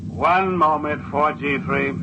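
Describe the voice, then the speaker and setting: Brisk, highish-voiced traffic controller. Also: male voice, dull audio. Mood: businesslike. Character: brisk, official male space traffic controller. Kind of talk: 1950s radio drama acting. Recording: noisy vintage radio recording.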